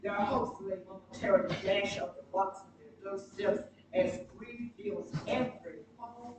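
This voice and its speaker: Woman preacher in a very echoey space. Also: medium pitch. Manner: emphatic, dramatic oratory with deliberate pauses. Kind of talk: sermon. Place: church sanctuary during a live service. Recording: very echoey, distant mic, noisy church livestream.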